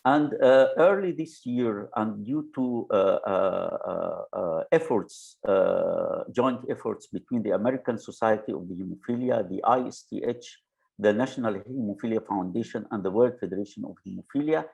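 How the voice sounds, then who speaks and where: Halting webinar moderator, man, low and gravelly, lots of fillers. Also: a little mellow. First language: Arabic. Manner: halting, many "uh" fillers and restarts. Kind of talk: hesitant webinar presentation.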